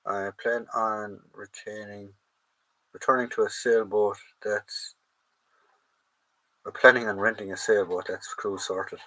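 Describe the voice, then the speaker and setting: A man's voice: fairly low pitch, flat delivery, a little slow, long pauses, sharp tone, poor audio. An Irish man hosting a live chat, casually reading out and answering viewer comments, trailing off and restarting.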